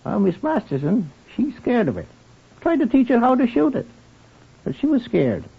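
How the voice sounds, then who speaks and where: An actor playing a garrulous, simple country handyman with a rural English accent and a husky voice, in an old radio drama. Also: very high voice, big pitch swings, normal speed, exaggerated voice. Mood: chatty. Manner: rambling, chuckling, deferential. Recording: tinny, band-limited old radio recording.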